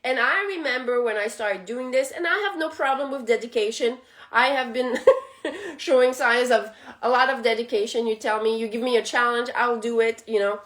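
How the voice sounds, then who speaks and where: A woman's voice: on the high side, moderate pace, a bit bright. A woman whose first language is French, hosting a spiritual channel and talking casually, reflective and laughing often.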